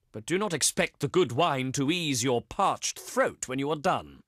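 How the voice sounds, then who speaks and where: An actor playing a haughty, contemptuous Roman senate diplomat in a strategy game, speaking in a pompous, theatrical, oratorical way. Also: male voice, a little high, bright, forceful tone, dry recording, studio quality. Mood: curt, disdainful.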